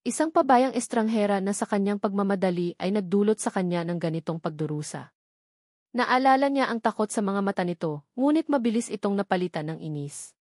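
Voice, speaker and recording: A woman's voice: mid-range voice, animated, a little mellow, no echo, clean studio sound. Woman narrating a dramatic love story. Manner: earnest, measured storytelling.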